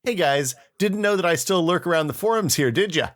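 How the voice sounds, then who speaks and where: A man's voice: sits high, lively intonation, a little fast, fairly bright tone, voice pushed up. A man reading an old forum post as a smug, know-it-all tech reviewer, chipper and lecturing. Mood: chummy, smug. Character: his younger self, smug know-it-all tech reviewer posting online.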